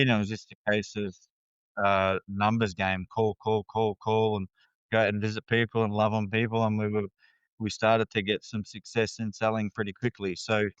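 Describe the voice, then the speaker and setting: A man's voice: on the low side, fairly bright tone, smooth, no echo, lo-fi sound. A man with an Australian accent, a guest talking candidly in a casual interview, rambling with fillers and restarts.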